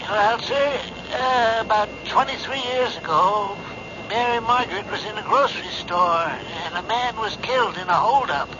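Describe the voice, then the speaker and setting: An actor playing a polite middle-aged man on a phone call in a 1970s radio drama, halting and deferential as he rambles. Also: high voice, natural voice. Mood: earnest, hesitant. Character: polite, hesitant middle-aged male caller remembering. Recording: dull noisy radio recording, phone-call scene.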